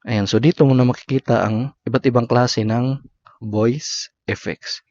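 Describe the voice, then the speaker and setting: A man's voice: medium pitch, steady tone, unhurried, a little mellow, no echo. Filipino man narrating a dull-sounding tutorial. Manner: instructional, short segmented phrases. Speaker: tutorial host. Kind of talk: step-by-step app tutorial narration. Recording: slightly dull lo-fi voice recording.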